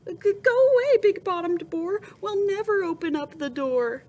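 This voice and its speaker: A small, plucky young storybook alligator boy, played by a woman. The voice jumps high and squeaky as it calls out with scrappy defiance, over some background noise. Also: animated, normal speed, warm tone. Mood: scared, defiant. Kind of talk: storybook read-aloud.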